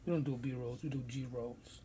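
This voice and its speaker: A man's voice: medium pitch, fairly even intonation, fairly quick, warm tone, slight background noise. Man rambling about sneakers, slangy. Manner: loose, rambling slang-heavy talk. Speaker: sneaker reviewer. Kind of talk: casual unboxing vlog. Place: cluttered room stacked with shoe boxes.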